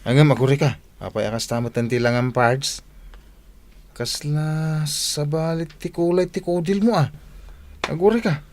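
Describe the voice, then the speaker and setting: A man playing a lively, caring young best friend in an Ilocano radio drama, teasing and full of exclamations. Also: on the high side, normal speed, natural voice. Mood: concerned. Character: lively, concerned young male best buddy.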